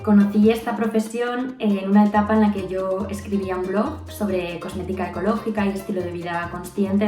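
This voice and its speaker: A woman in her thirties from Spain with a Spanish accent, a copywriter speaking thoughtfully in a recorded testimonial, with an eh or a pues here and there. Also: mid-range voice. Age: 30s. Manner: thoughtful, uses fillers like eh and pues. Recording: roomy sound from a distance, soft music.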